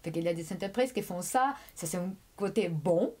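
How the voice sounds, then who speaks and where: A woman whose first language is Spanish, a guest chatting casually in an interview, often pausing, repeating words and laughing. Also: on the low side, animated, mellow, husky. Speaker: immigrant guest.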